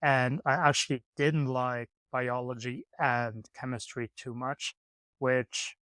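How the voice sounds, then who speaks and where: Male podcast host, relaxed, halting delivery, mid pitch, dry close sound. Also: dry recording. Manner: easygoing, halting, many "um"s. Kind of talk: casual interview chat. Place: hostel room.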